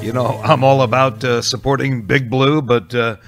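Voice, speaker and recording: A man hosting an oldies radio show, chatting casually and folksily between songs with frequent pauses. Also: medium pitch, steady tone. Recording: music playing under the voice.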